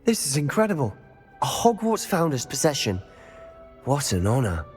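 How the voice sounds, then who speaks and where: A man's voice: medium pitch, huge pitch swings, moderate pace, darkish tone, husky. A man playing a bold, bookish young wizard student in a game, decisive and intense. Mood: eager. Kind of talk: scripted game cutscene acting. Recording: long hall reverb under music.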